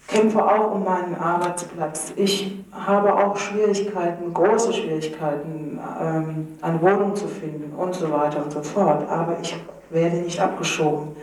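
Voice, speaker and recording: A woman's voice: on the low side, a little mellow. Echoey, distant woman arguing evenly. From Germany. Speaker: discussion participant. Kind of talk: earnest spoken argument in a group discussion. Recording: very echoey room, distant microphone.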